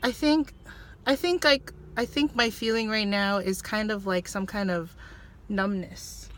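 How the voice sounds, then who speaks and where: A woman co-hosting a casual film review chat, speaking haltingly with many fillers, often trailing off and restarting. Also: mid-range voice, fairly even intonation, a bit bright, slight background noise. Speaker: vlog co-host reviewing a film.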